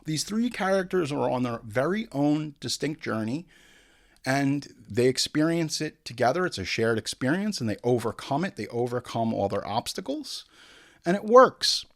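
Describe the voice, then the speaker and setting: A man's voice: mid-range voice, big pitch swings, normal speed, fairly bright tone. A man hosting a film commentary show, irreverent and sweary, drifting into comic tangents and acted-out asides. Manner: irreverent, sweary, digressive, mimics people in asides.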